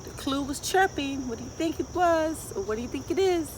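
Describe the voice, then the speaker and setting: A middle-aged woman reading a picture book aloud to children, expressive and deliberate, often putting questions to her listeners. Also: high-pitched. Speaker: children's storyteller. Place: outdoors in a wooded garden. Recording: outdoor, distant mic, ambient insect noise. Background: insects and outdoor ambience.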